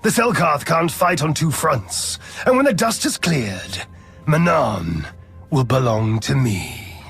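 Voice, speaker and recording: A cold, scheming Sith lord for a video game. His gravelly voice sits a little high and swings widely in pitch as he gives formal, imperious orders over music. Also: big pitch swings, slow, fairly bright tone, exaggerated voice. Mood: gloating, commanding. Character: arrogant, power-hungry male Sith lord, cold and scheming. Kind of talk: dramatic video game voice acting.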